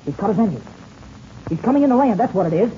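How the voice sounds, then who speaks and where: A man's voice: sits high, expressive, fast talker, dark tone, highs cut off, a little hiss or hum. A young man playing an eager, boyish pilot in a 1930s radio serial, earnest and full of gee-whiz exclamations, on an old radio recording. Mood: excited.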